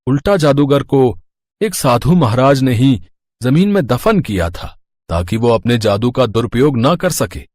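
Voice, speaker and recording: A man's voice: medium pitch, sing-song, measured pace, darkish tone, dry recording, clean studio sound. A man voicing a worried, kindly older merchant and town elder in a children's cartoon story, explaining earnestly in a fatherly way.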